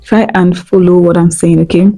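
Young Nigerian woman with an Igbo accent, earnest motivational vlogger, lowish mellow voice, lapel mic, faint music under. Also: flat delivery, normal speed. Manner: earnest, repeats key points, checks in with "okay?". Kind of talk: motivational talk to camera. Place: indoor room at home.

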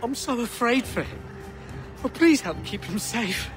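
A self-pitying assassin from a pirate video game. His voice sits high and lurches theatrically between whining and outbursts. Loud dramatic music plays behind him. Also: expressive, a little slow. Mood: maudlin, slurred. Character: distraught drunken pirate-era assassin, betrayed brother, self-pitying. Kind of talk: video game cutscene acting.

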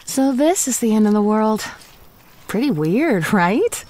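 A woman's voice: fairly high pitch, very animated, measured pace, darkish tone. An actress playing a chatty, quirky zombie woman in her thirties, narrating a comedic audio drama with wry, playful asides. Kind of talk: comedic audio drama monologue, breaking the fourth wall.

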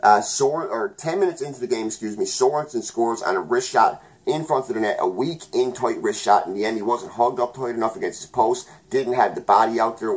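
A man's voice: on the low side, low-quality recording. A young man from Newfoundland giving a rambling, heated post-game hockey recap at home, often correcting himself mid-sentence. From Newfoundland, Canada. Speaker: hockey vlogger. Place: small room at home.

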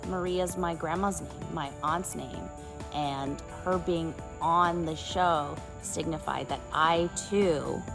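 A woman's voice: deep voice, fairly even intonation, takes it slowly, creaky. A woman born in Brazil giving a reflective, heartfelt testimony in a recorded video message. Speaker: talk show staff member. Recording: video message with music underneath.

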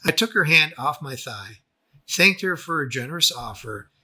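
A man reading a scripted first-person memoir as its narrator, with a husky voice. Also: fairly high pitch, animated, moderate pace, bright, forceful tone. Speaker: author and narrator.

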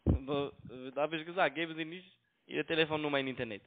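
A man's voice: fairly high pitch, fairly even intonation, fast. Foreign-accented man on a tinny phone line. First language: not German. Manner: broken German, repeats phrases insistently. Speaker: phone broker and scam caller. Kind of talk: argumentative phone conversation. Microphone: telephone.

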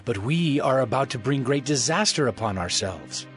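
A man playing a grave elder who reasons in measured oratory in a dramatized scripture reading. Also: mid-range voice, lively intonation, soft background music, natural voice. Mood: grave, reasoning.

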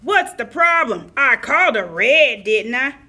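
A goofy puppet wolf performed by a woman for a children's puppet show. The voice is high and bright with big swoops in pitch. It sounds cheerful, muddled and singsong, like a storyteller who keeps getting lost. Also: sing-song, a little slow, heavily acted. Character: silly, muddled, cheerful puppet wolf telling stories wrong.

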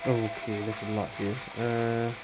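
A man's voice: lowish voice, little pitch movement, measured pace, poor audio. A man giving casual, offhand commentary while playing a video game. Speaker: game streamer. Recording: loud game music behind.

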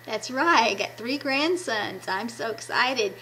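A woman's voice: fairly high pitch, expressive, a little slow, bright, forceful tone, slight background noise. A woman in her fifties giving an enthusiastic devotional talk to children, recorded on a webcam at home. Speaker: children's ministry puppet presenter. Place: small room at home. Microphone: webcam at arm's length.

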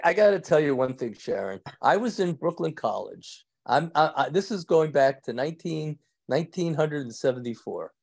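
Older rabbi with a thick New York accent, gravelly, chatty, on a home video call. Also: male voice, medium pitch, a little mellow. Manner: rambling, joking, laughs often, many restarts. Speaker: rabbi teaching an evening class. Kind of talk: casual chat with class members. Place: indoors at home. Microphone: video call from a phone or webcam.

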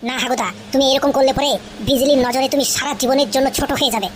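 Casting: persuasive young schemer for comedy cartoon played by a woman, high voice, rapid coaxing delivery. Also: fairly even intonation, slight background noise, close to an everyday voice. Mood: reasoning. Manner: explaining, coaxing. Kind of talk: exaggerated comedy cartoon dialogue.

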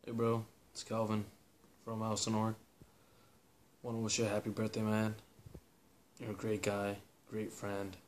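A man's voice: lowish voice, monotone, unhurried, long pauses. A man in a dim room giving a laid-back, brotherly birthday message.

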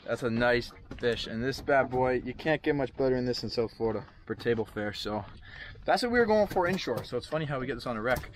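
A young man hosting a fishing vlog, chatting excitedly to viewers as he fights fish on a small boat at sea, recorded on an action camera. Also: medium pitch, fast talker. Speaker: fishing channel host. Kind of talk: casual fishing vlog chat. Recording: wind and boat noise outdoors.

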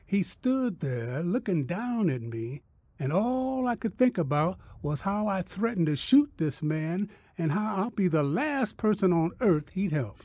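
A man's voice: high-pitched, very animated, unhurried, a little mellow, no room sound, heavily acted. A man playing a humbled, earnest farmer telling his story in folksy rural phrasing in a radio drama. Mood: moved, earnest.

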